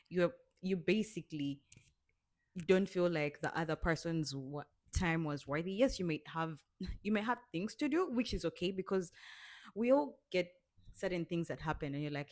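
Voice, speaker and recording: A woman's voice: sits low, darkish tone, no room sound. A woman in her twenties co-hosting a casual podcast, giving earnest advice in a chatty way. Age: 20s. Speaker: podcast co-host. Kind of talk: casual chat.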